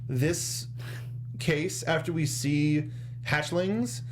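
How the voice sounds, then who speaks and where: A young American man with a slightly high voice talks casually, like a co-host reviewing a film. He speaks into a close studio mic, with a faint hum behind him. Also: very slow. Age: young adult. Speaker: co-host of a movie review show. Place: small studio, indoors at a desk. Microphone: close studio microphone with foam windscreen, headphones.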